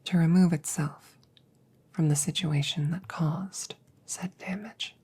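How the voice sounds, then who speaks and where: Woman playing a female android, audio roleplay, low hushed breathy voice, unhurried, stoic, gentle, precise formal wording. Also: steady tone, heavily acted. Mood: measured, clinical. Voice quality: breathy whisper. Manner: measured, formal, precise phrasing. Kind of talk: whispered ASMR audio roleplay.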